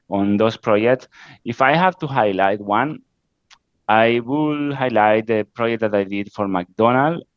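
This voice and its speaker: Spanish-accented man, halting and low. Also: clear, resonant tone, dry recording, lo-fi sound. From Spain. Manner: halting, frequent "uh" fillers, non-native grammar. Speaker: interview guest, creative director. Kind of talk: casual interview answers.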